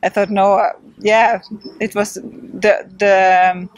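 A woman's voice: medium pitch, little pitch movement, slow pace, no echo, low-quality recording. Hesitant Swedish-accented woman, dry sound. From Sweden. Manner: hesitant, many fillers, laughs often. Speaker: interviewed trail runner. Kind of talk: casual post-race interview.

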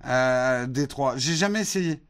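A man from France hosting a casual morning live stream, answering viewer questions in a relaxed, hesitant way with many fillers. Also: mid-range voice, unhurried. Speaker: host of a morning live show answering chat.

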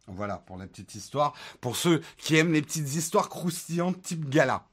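A man's voice: on the high side, moderate pace, husky. Chatty man hosting a tech livestream. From France, raised in the United States. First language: English. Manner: relaxed, chatty, many fillers, joking asides. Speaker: tech channel host answering live chat questions. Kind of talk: casual livestream chat.

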